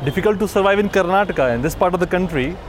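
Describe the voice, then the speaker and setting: A man's voice: high voice, expressive, rapid-fire, a little hiss or hum. A man from northern India with an Indian English accent, earnestly explaining himself in a street interview and repeating his points. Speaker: office worker interviewee. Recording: music playing underneath.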